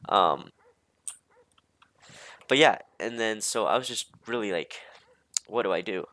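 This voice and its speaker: Rambling young man with a lowish voice. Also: sharp tone. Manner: hesitant, halting, easily distracted, heavy use of "like". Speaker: storyteller. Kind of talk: casual rambling storytime.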